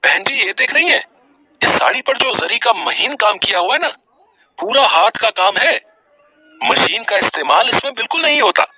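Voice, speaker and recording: A young, eager salesman played by a male narrator in a moral story, delivering polite, persuasive patter. The voice is high and very sharp, recorded dry. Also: lively intonation, moderate pace, no room sound, full character voice, rough recording. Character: smooth-talking, eager young male sari shop salesman.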